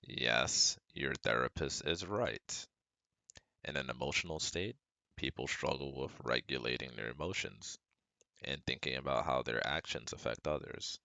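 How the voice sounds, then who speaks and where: A man's voice: rumbling low, measured pace, gravelly, bright, forceful tone, no echo, heavily acted. A young man playing a caring, protective best friend and psychology student in a scripted ASMR roleplay, speaking earnestly and reassuringly to the listener. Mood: reassuring, analytical. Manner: reassuring, earnest, talks directly to a close friend.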